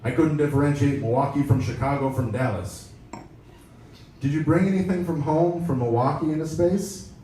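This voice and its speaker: A man's voice: on the high side, normal speed, warm tone. A man reading an interview aloud at a live event, with wry, casual asides. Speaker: editor giving a reading. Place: indoor live pop-up event at a venue. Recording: echoey, noisy room, distant mic.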